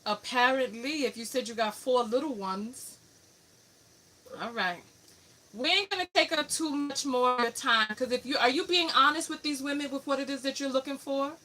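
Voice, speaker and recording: High-voiced New York woman host. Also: moderate pace, pushed and bright, poor audio. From New York City. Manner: firm, repeats "listen", presses with questions. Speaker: radio show host and relationship coach. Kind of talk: live prank coaching call.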